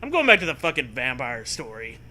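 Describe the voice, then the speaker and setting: A man reading a comic storybook aloud with animated, profane casual banter. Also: mid-range voice, a little fast. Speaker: reader of a comic choose-your-own-adventure book.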